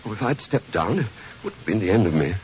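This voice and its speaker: An actor playing a frightened, deferential butler who pleads and stammers in an old radio mystery drama. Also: male voice, lowish voice, big pitch swings, fast. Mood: terrified. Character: frightened, deferential male butler in terror of his life. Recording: muffled, noisy old radio recording.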